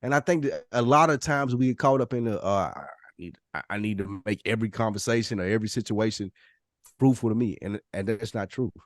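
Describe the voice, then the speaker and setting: Male podcast panelist, low register, dry close sound, blunt slangy delivery. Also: brisk, no echo. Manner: blunt, slangy, swears freely. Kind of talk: casual group discussion.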